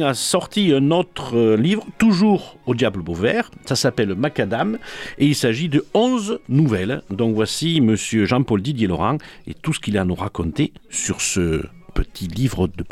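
A man's voice: medium pitch, very animated, normal speed, a little mellow. A man hosting, introducing an author with some hesitation. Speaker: host. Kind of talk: radio program introduction.

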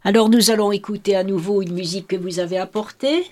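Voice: mid-range voice, fairly even intonation, fast talker. A radio host who moderates the interview, asks questions, and introduces the music. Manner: leading, moderating the guests.

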